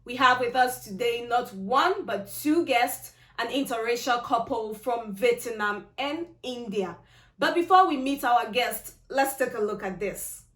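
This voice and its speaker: A woman's voice: fairly high pitch, expressive, unhurried, a bit bright. Upbeat woman host in an echoey room. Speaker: interview host. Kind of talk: hosting an online interview. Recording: echoey room with faint music.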